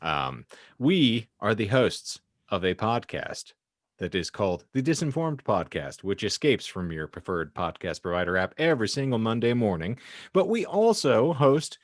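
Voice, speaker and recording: A man's voice: on the low side, sing-song, normal speed, dry recording, studio quality. A man co-hosting a podcast with casual comedic banter, speaking in florid, theatrical, wordy phrases. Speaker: podcast co-host.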